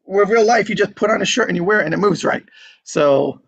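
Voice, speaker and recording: Casual male host for an online group, slightly high, crackly voice, dry room. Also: a little fast, creaky, fairly bright tone, breathy edge, lo-fi sound. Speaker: host of online script table readings.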